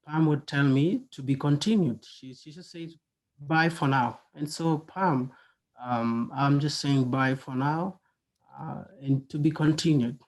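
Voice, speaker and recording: A soft-spoken man speaks on a video call, halting and hesitant as he shares memories. His pitch is ordinary and his tone is gentle and plain. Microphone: video call microphone.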